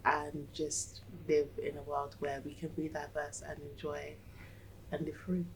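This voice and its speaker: Woman school support worker, monotone, earnest. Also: deep voice, a little slow, gravelly, husky, low-quality recording. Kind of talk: panel discussion.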